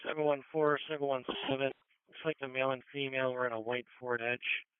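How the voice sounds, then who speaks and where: A man's voice: mid-range voice, fairly even intonation, brisk, phone-quality sound. A man giving terse police dispatch traffic over a two-way radio on a scanner feed.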